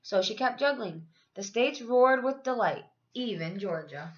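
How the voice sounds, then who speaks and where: A woman reading a children's picture book aloud like a teacher, in an expressive, animated manner. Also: fairly high pitch, a little slow. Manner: animated, acts out dialogue. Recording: dull, lo-fi sound.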